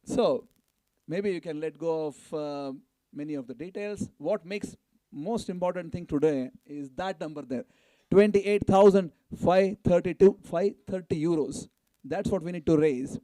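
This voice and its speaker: A man's voice: fairly high pitch, unhurried, mellow, no room sound. A man making live fundraising announcements to a community gathering, exhorting and prompting his listeners to respond. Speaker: event announcer and fundraiser at a temple. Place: spoken live to a gathering at a temple event.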